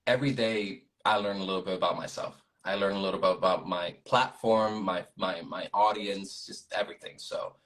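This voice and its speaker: A man's voice: sits low, steady tone, moderate pace, hardly any pauses, a bit bright. A man in his twenties with a Puerto Rican accent, Spanish his first language, talking earnestly as a guest on a live video call with many fillers. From Puerto Rico. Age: 20s. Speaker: guest content creator. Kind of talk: casual interview on a live stream.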